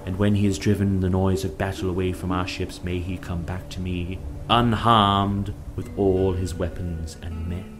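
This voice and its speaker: A man's voice: sits low, little pitch movement, moderate pace, hardly any pauses, natural voice. Proud ancient warrior praying aloud, played by a male narrator, declamatory and fervent, music behind. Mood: fervent, pleading.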